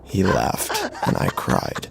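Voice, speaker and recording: A man delivers dramatic horror narration in a very deep voice. He sounds grave and brooding, with sweeping changes of pitch and a gravelly fry. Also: huge pitch swings, slow pace, a crowd in the background.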